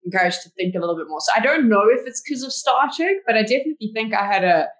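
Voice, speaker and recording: A woman's voice: on the high side, expressive, very fast, poor audio. A woman with a South African accent, a podcast guest telling stories in a chatty way with lots of fillers. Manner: chatty storyteller, many "like" fillers. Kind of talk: casual interview chat.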